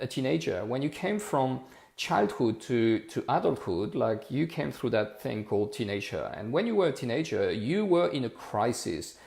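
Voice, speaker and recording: A man's voice: mid-range voice, gravelly, darkish tone, reverberant. A man of forty, talking heatedly and repeating himself on a casual livestream. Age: 40s. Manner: impassioned, repetitive, rhetorical. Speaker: livestream host.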